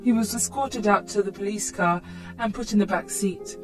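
A woman's voice: medium pitch, normal speed. Woman narrating a scripted personal story, background music.

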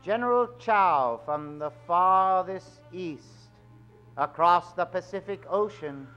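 A suave, mysterious old-time radio storyteller, acted by a man on stage, who draws out each dramatic phrase slowly. Soft music may sit behind the theatrical suspense. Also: high voice, animated, lo-fi sound, exaggerated voice. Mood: mysterious, grand. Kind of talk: 1940s radio mystery acting. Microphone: vintage-style stand microphone.

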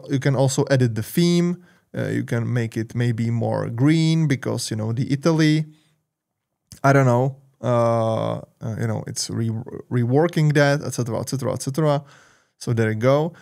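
Czech-accented man rambling through a software demo. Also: medium pitch, vocal fry. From Czech Republic. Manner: loose and rambling, many fillers and repeated "et cetera". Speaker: tech channel host. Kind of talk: screen-share software walkthrough. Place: home studio with purple lights. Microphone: large studio mic on arm.

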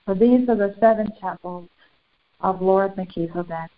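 A woman leading a guided spiritual meditation in solemn, formal, deliberate phrases with long pauses. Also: mid-range voice. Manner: solemn, formal, ceremonial wording. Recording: muffled, phone-like narrow band.

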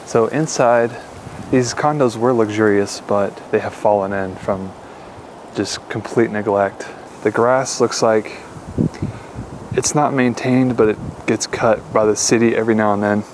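A man's voice: on the low side, steady tone, a little slow. Chatty young man exploring outdoors. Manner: casual, chatty, addresses viewers as guys. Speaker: urban explorer host. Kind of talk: walking tour vlog, casual. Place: outdoors and inside an abandoned mansion. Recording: noisy outdoor camera audio.

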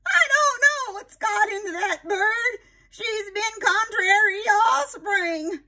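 A woman voicing a fussy, excitable older aunt who dotes on her pet, exclaiming and cooing in a children's storybook. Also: very high-pitched, takes it slowly, voice pushed up, heavily acted. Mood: exasperated. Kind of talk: read-aloud children's storybook.

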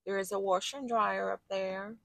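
A woman's voice: medium pitch, level tone, moderate pace, creaky, fairly bright tone, no room sound. A woman chatting casually and appreciatively as she gives a campground tour as a travel vlogger, folksy and colloquial. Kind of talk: casual chat with a partner.

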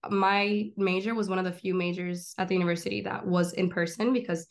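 A young woman in her twenties, born in Miami and raised in Argentina, telling her story as an interview guest. She talks in quick, lively run-on stretches, like someone gesturing as she goes. The sound comes through a laptop webcam on a video call from a small room at home. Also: lowish voice, vocal fry. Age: 20s. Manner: animated, gestures a lot, rapid run-on storytelling. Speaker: guest, comedian and filmmaker.

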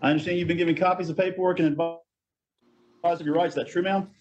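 A middle-aged man speaking as a judge in a brisk, procedural hearing over a court video link. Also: on the high side, steady tone, a little mellow, muffled recording, a little hiss or hum.

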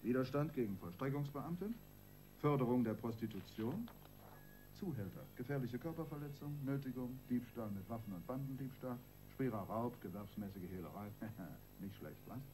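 A man's voice: mid-range voice, animated, normal speed, warm tone, slight background noise, natural voice, low-quality recording. A man playing a domineering senior police detective in a radio crime drama, mocking, condescending and emphatic. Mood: lecturing, insistent.

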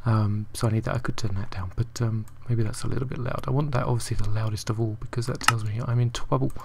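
An English man with an English accent, softly commentating his gameplay and rambling with many ums and uhs. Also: mid-range voice, fairly even intonation, quick pace, creaky, very noisy. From England. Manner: quiet, soft-spoken. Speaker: let's play host.

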